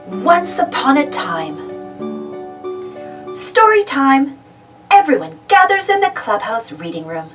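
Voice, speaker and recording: A woman reading a children's storybook aloud as an animated narrator. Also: high-pitched, slow pace, long pauses. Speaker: storybook reader. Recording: distant mic, music behind.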